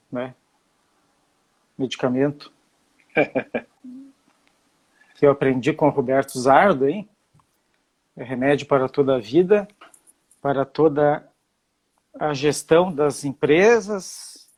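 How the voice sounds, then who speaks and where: A man's voice: fairly high pitch, a little mellow. Friendly Brazilian man hosting a live chat. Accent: Santa Catarina Brazilian Portuguese. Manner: friendly, hesitant with fillers. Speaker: host. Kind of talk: live-stream interview. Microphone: video call.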